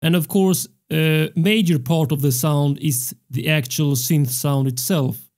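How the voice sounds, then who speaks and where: A young man hosting a music production tutorial, explaining step by step in a measured way into a close studio mic. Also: fairly high pitch, fairly even intonation, a little mellow. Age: young adult. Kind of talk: tutorial narration. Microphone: close studio microphone on a stand.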